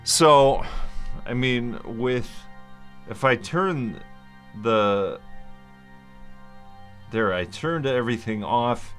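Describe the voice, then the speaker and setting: A man giving an informal explainer as a vlogger, speaking in a halting, deliberate way with long hesitations and at times mildly slurred speech. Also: on the low side, fairly bright tone. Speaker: vlogger sharing a personal medical journey. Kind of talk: informal explainer, part reading notes, part ad-libbed.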